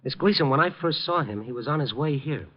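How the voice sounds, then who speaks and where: A man's voice: a little high, expressive, brisk. Muffled vintage radio probing newspaper man. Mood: cool, pressing. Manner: wry, questioning, laconic. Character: cool, probing, world-weary newspaper reporter, adult man. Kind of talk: 1950s radio drama acting and first-person narration.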